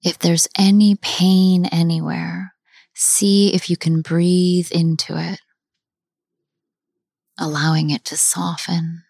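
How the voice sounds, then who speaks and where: Slow, soft-spoken woman meditation guide. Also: lowish voice, flat delivery, gravelly, breathy edge, no echo. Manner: slow, soft, often half-whispered with long pauses.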